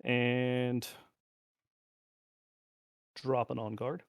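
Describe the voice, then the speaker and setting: A man's voice: mid-range voice, level tone, extremely slow, clean tone, no echo, clean studio sound. A middle-aged man chatting casually as he plays a card game, talking through his moves and muttering to himself and the cards.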